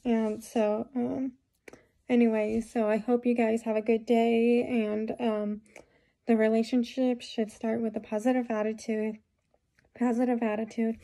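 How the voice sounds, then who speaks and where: Hoarse young woman rambling to camera. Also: fairly high pitch, little pitch movement, a little slow, dark tone. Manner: rambling advice with many fillers. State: hoarse from a cold. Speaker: vlogger. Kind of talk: casual talk to camera. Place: small room indoors. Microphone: phone camera at close range.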